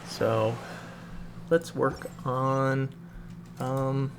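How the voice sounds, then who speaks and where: A man's voice: mid-range voice, flat delivery, very slow, noisy background. A man doing casual live gameplay commentary as a streamer, thinking aloud. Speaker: game streamer. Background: loud game music.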